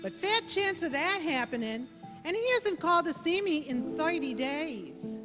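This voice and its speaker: A woman's voice: high voice, sing-song, measured pace. A woman playing an earnest, brave young gangster's moll in an old-time radio play. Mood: anxious. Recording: echoey hall with music behind.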